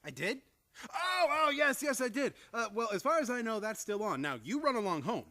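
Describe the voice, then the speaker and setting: An actor playing a flustered, exasperated nephew and theatre critic in a live radio comedy, stammering and theatrical. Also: male voice, very high-pitched, very animated, fairly quick, pushed and bright, heavily acted. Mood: frantic, brusque. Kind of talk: live old-time radio comedy acting.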